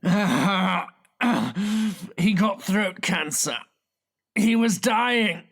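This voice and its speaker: A man's voice: high voice, animated, drawn-out words, gravelly, fairly bright tone, pitched above the performer's own voice, exaggerated voice. Wounded, bitter man in pain. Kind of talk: dramatic audiobook character acting.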